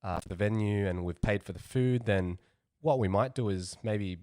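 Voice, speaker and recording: A man's voice: on the low side, normal speed, darkish tone, dry recording, clean studio sound. A young man co-hosting a casual podcast, easygoing and hesitant as he talks. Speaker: podcast co-host. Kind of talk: casual chat.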